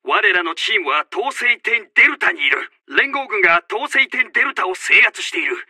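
A man's voice: high-pitched, sing-song, moderate pace, pushed and bright, husky, no echo, studio quality, exaggerated voice. A man playing a stern, urgent Japanese army officer in a war video game, barking clipped tactical announcements and orders. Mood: urgent, reporting. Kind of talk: shouted tactical voice lines.

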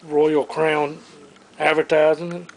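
Male auctioneer for a sale preview, slightly high voice, unhurried short item call-outs, handheld camera sound. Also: little pitch movement, creaky. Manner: clipped, listing items one by one. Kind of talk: walk-through item commentary in short phrases. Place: large indoor auction showroom full of furniture. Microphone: handheld camera microphone. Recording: noisy handheld camera in a showroom.

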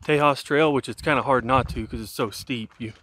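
A man's voice: mid-range voice, brisk, a little hiss or hum. A man in his thirties hiking outdoors, filming himself at arm's length and chatting casually with fillers and restarts. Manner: relaxed rambling with fillers and restarts. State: walking downhill. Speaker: hiker vlogger. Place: outdoors on a mountain trail. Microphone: camera held at arm's length while walking.

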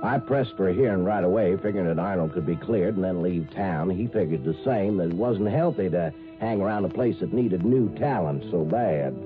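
An actor playing a wry, world-weary small-town police chief in a 1950s radio drama. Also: male voice, low voice, animated, a little fast, hardly any pauses. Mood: sober narrating. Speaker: police chief narrator and character. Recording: tinny 1950s radio, music behind.

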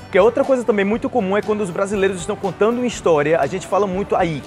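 A man's voice: sits high, racing, a little mellow, soft background music. A young man with a Brazilian accent teaching a conversational language lesson to camera, explaining with acted example phrases. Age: young adult. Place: outdoors on a patio by trees and a street. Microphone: lapel mic.

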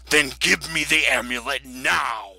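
A man's voice: medium pitch, steady tone, slow, gravelly, sharp tone, dry recording, full character voice. A man voicing a ruthless undead lich who makes threatening demands in a fantasy roleplay. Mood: furious.

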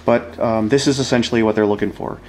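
A man's voice: fairly low pitch, steady tone, fast talker, a little mellow, slight background noise. A man explaining a hands-on demonstration in a casual, informal way, as an instructor talking to viewers.

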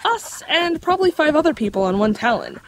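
Cautious, knowledgeable young woman played by an actress, lightly high voice, explanatory delivery, audio drama. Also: sing-song. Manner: measured, explanatory. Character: bookish, cautious young female folklore expert.

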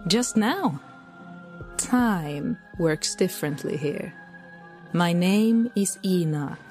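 Woman playing a slow, solemn angelic messenger. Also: mid-range voice, darkish tone. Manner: formal, measured, deliberate. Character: angelic divine messenger, once human, solemn, otherworldly storyteller. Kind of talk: scripted audio drama monologue and narration.

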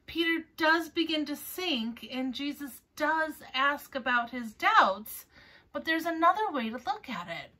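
High-voiced woman reading slowly, storytime style. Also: pushed and bright. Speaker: children's storytime host. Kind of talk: scripted introduction and picture-book read-aloud. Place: small furnished room at home, indoors. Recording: voice a little far from mic.

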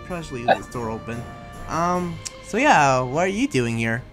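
A male gaming host improvises a roleplay in a casual way. His mid-pitched voice moves up and down a lot as he goes. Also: expressive, normal speed, fairly bright tone, faint music behind.

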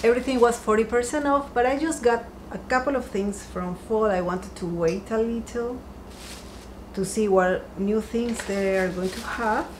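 A woman's voice: on the high side, normal speed, a little hiss or hum, rough recording. Chatty woman showing off decor finds. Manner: chatty and upbeat, lingering softly over each item. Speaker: home decor vlogger. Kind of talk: casual shopping haul chat. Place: home dining room, seated by a window.

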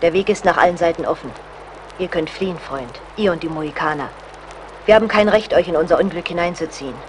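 A woman's voice: on the low side, fast, slight background noise, natural voice. Woman playing a resolute, brave young lady. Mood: resolute, pleading. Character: brave, self-sacrificing young woman, colonel's daughter. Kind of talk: German radio play acting.

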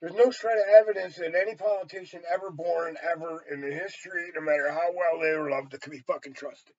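High-pitched older American man, blunt. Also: steady tone, fast, dry recording. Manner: blunt, heavily profane, emphatic. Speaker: storyteller and vlogger. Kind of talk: rambling opinionated monologue to camera. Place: small indoor room. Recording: dull, lo-fi webcam sound.

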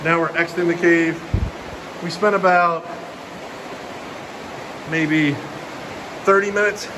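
Young male hiking vlogger, slightly high voice, loose rambling delivery, handheld in a cave, clear background hiss. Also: takes it slowly. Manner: relaxed, rambling, filler-heavy commentary. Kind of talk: casual vlog narration. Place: inside a cave. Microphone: handheld camera held by the speaker.

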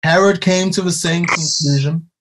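An actor playing a stern, troubled Roman governor in an audio play, speaking formally and deliberately in a declamatory way. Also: male voice, on the high side, fairly even intonation, heavy background noise, lightly acted. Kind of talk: dramatic audio play acting.

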